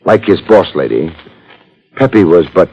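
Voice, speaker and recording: Casting: male gumshoe, sardonic and dry, fairly deep, fifties radio detective drama, muffled recording. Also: big pitch swings, unhurried, vocal fry, breathy edge, heavily acted. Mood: wry narration. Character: wry, cool, wisecracking male private detective. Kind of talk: 1950s radio detective acting, with first-person narration.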